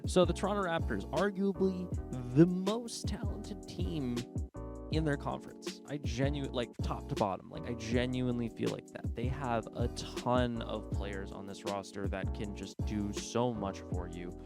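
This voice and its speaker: A man's voice: mid-range voice, a little slow, creaky, loud music behind the voice. A man with a cold and a stuffy, congested voice, rambling informally as he hosts a casual basketball chat. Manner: rambling and informal, thinking aloud. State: congested from a cold, sore throat. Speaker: basketball video host. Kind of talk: casual sports commentary.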